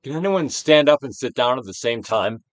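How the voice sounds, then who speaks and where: A man giving a dramatic, theatrical reading of a self-help book, emphatic, with swells and hushed drops. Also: medium pitch, expressive, moderate pace, dry recording. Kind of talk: theatrical dramatic reading of scripted instructional text.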